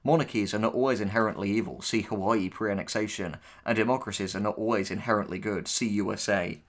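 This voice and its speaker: A man's voice: on the low side, quick pace. A man co-hosting casual commentary, reading posts aloud and responding with sardonic, dismissive, sweary remarks. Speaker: co-host and writer reacting to writing tips.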